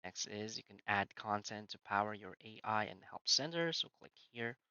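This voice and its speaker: A man narrating a screen-recorded software tutorial, walking through each step plainly with frequent "so" and "uh" fillers. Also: lowish voice, expressive, moderate pace, clear, resonant tone, dry recording, highs cut off.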